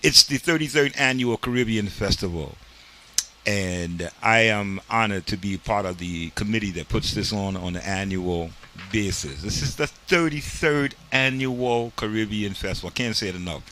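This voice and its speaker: Man, community TV host, low voice, bright tone, emphatic, preachy, studio. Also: animated, normal speed, a little hiss or hum. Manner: preachy, emphatic, uses "this here". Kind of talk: casual interview and commentary.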